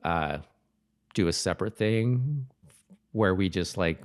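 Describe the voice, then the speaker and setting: A man co-hosting a podcast in casual chat, speaking haltingly and often repeating and rephrasing himself. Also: deep voice, animated. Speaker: podcast co-host. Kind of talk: casual chat about a TV episode.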